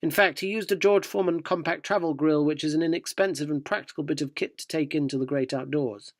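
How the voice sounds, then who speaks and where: A man's voice: fairly high pitch, quick pace, no echo, clean studio sound, close to an everyday voice. A man playing an earnest, inquisitive magazine reporter in a radio drama, narrating and questioning with a dryly descriptive manner.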